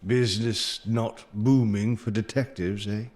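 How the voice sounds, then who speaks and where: Casting: genial Victorian gentleman physician, fairly low register, courteous and mildly flustered, radio-play delivery. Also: steady tone, a little slow. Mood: affable. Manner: polite, affable, hesitant. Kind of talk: audio drama acting and narration.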